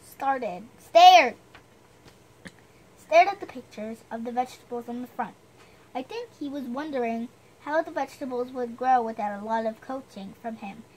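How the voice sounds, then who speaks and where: A woman's voice: a little high, animated, moderate pace, a little hiss or hum, low-quality recording. A woman reading a storybook aloud with chatty, self-deprecating asides, stumbling over the odd word.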